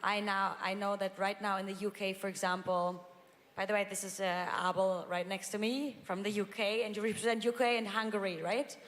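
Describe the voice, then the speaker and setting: A woman's voice: mid-range voice, little pitch movement, brisk, fairly bright tone. A woman moderating a panel discussion, hesitant, with many fillers and restarts. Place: large conference hall. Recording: reverberant conference hall, faint ambience.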